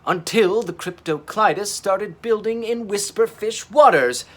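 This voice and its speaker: A man voicing a heroic, earnest, excitable young male dinosaur captain from a children's storybook, with emphatic, dramatic delivery. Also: high-pitched, measured pace, voice pushed up. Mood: explaining. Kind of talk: children's storybook character reading.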